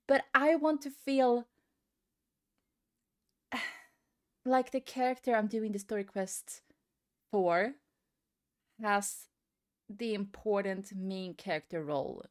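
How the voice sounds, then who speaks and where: A woman's voice: fairly high pitch, fairly bright tone. A woman giving a casual, rambling opinion rant as a gaming video creator, often hesitating and repeating herself.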